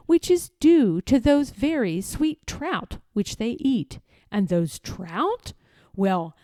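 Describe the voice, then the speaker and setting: A woman reading a children's story aloud in a theatrical, rhythmic way, as expressive storytelling. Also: medium pitch, no room sound. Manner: theatrical, rhythmic rhyming delivery. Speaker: storybook reader.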